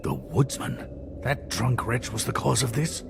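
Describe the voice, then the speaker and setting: An actor playing a pompous, petulant acting mayor in a video game, haughty and fussy, with theatrical enunciation. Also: male voice, medium pitch, animated, unhurried, gravelly, background noise. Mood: irritable, bossy.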